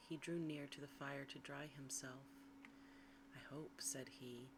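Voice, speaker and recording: A woman reads a bedtime story in ASMR style, in a soft, breathy, hushed and soothing whisper. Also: low-pitched. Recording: clearly audible background noise.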